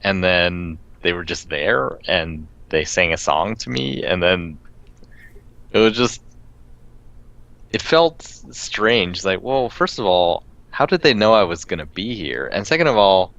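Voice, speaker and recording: A man's voice: low voice, animated, measured pace, a bit bright, muffled recording. A man co-hosting a podcast, chatting casually about video games, rambling with frequent laughs. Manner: rambling, laughs often, many restarts. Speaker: podcast co-host. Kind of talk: casual gaming chat.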